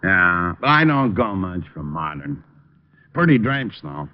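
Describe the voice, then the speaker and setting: Deadpan radio police lieutenant. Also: male voice, deep voice, measured pace. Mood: dry, casual. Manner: blunt, deadpan, official. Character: dry, stolid male police homicide lieutenant. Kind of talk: 1940s-50s radio crime drama acting. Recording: muffled old radio broadcast.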